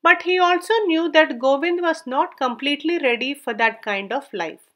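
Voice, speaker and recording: High-pitched woman reading a story aloud. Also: normal speed, a bit bright. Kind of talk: scripted story narration, read aloud.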